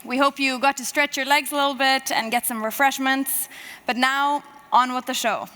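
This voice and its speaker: High bright woman welcoming in a hall. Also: steady tone, moderate pace. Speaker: conference host. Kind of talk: scripted announcement. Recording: long hall reverb.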